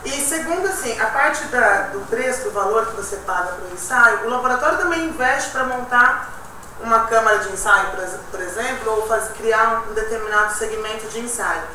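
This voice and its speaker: A woman's voice: fairly high pitch, moderate pace, sharp tone. A woman arguing and explaining at a meeting, tagging her points with "né". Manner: explanatory, argumentative, with many "né". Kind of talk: discussion at a meeting. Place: meeting room. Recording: echoey room from far off, noisy.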